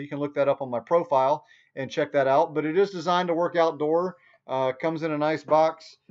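Folksy man with dull, lo-fi sound. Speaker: product reviewer, video host. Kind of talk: casual unscripted product review to camera. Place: small room at home. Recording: dull, muffled low-fi sound.

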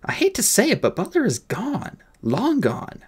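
A man's voice: extremely high pitch, huge pitch swings, normal speed, husky, voice pushed up, exaggerated voice. A man voicing a testy, blunt female fairy police captain in an audiobook story. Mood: grim, insistent. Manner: snappish, blunt, skeptical.

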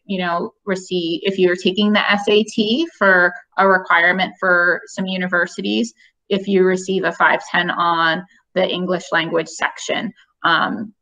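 Young admissions lady on a webcam call, crisp bright voice, lots of ums. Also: mid-range voice, dull audio. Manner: friendly, explanatory, many "um" fillers. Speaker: college admissions representative. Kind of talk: webinar Q&A answers. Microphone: video call webcam.

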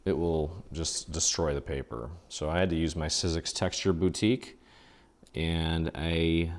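Very deep-voiced man explaining a craft. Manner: relaxed, chatty, thinks aloud with fillers. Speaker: craft tutorial host. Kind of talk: casual step-by-step craft tutorial.

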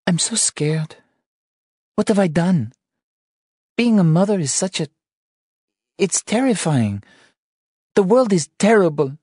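Male narrator voicing upset young wife; raised pitch, pleading, soft mellow tone, dry read. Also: normal speed, no echo, natural voice. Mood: frightened. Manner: pleading, emotional delivery. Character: anxious tearful wife, adult woman.

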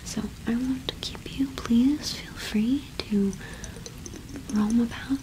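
A woman in her twenties doing an ASMR roleplay as a cottage witch shopkeeper, speaking softly and unhurriedly with hesitant pauses, close to the microphone. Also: fairly high pitch, steady tone, noisy background. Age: 20s. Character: friendly solitary cottage witch keeping a small shop.

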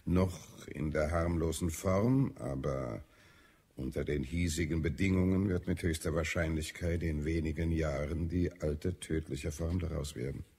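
Deep, gravelly, edgy health adviser. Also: male voice, steady tone, unhurried, a little mellow, exaggerated voice. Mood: grave warning. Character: irritable, weary male Martian health adviser, grimly concerned. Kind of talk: German audio drama acting.

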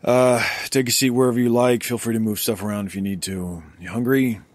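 A man's voice: medium pitch, fairly even intonation, a little fast, darkish tone, no echo, close to an everyday voice. An actor playing a wary, questioning man who keeps careful records, in an audio drama. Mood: guarded. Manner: questioning, guarded.

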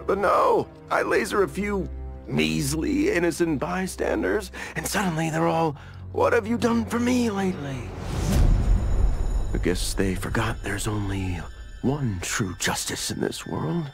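An American actor playing a narcissistic, petulant superhero with menace in a video game, sarcastic and theatrical. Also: male voice, a little high, very animated, slow, creaky, over music, heavily acted. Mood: aggrieved, smug. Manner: sarcastic, theatrical, self-pitying. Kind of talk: scripted video game ending monologue.

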